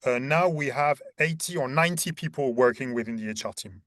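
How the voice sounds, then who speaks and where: A man's voice: medium pitch, a little fast, fairly bright tone, no room sound, clean studio sound. A man in his thirties from Paris with a French accent, explaining conversationally as an interview guest, often repeating words as he searches for phrasing. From Paris, France. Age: 30s. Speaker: interview guest, head of talent acquisition.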